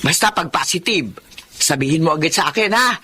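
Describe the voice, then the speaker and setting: Pushy, sharp-voiced Filipino baby broker. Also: female voice, deep voice, animated, brisk, slight background noise. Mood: insistent. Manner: insistent. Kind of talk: Filipino radio drama acting.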